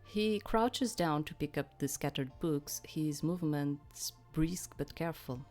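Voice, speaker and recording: Lower-voiced woman playing and narrating a game. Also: measured pace, a little mellow, faint music behind. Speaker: let's play host. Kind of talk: reading game narration aloud with casual commentary.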